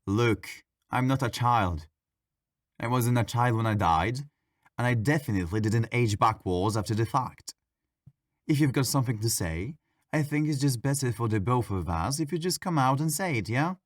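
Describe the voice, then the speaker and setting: A man's voice: mid-range voice, lively intonation, normal speed, no room sound, studio quality, natural voice. A man playing a petulant, mischievous ghost who haunts a library, talking in a sassy, casual, complaining way in an ASMR audio roleplay. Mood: defensive.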